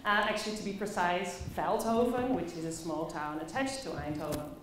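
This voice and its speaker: A woman from the Netherlands with a Dutch accent, telling personal stories as a conference speaker, expressively and with dramatic pauses. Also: fairly low pitch, animated. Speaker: conference speaker, play facilitator. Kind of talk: personal storytelling talk to a live audience. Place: echoey indoor conference room. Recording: very echoey room, faint background noise.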